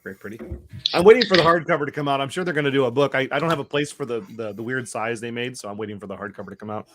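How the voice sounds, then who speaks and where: A man who runs a comic shop, hosting a casual panel chat and talking in a quick, opinionated way. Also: medium pitch, fairly bright tone, a little hiss or hum.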